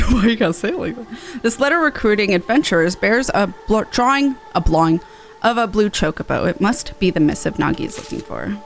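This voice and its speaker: A woman's voice: medium pitch, expressive, fairly quick, gravelly. A woman game streamer bantering casually and reading game text aloud.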